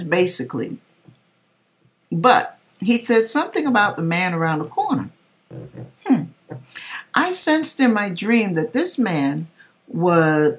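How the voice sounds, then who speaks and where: A middle-aged woman reading aloud and commenting in a deliberate, dramatic way with pauses, recorded in a home kitchen. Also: low-pitched. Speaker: vlogger reading a viewer's dream and commenting. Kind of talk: expressive read-aloud with religious commentary.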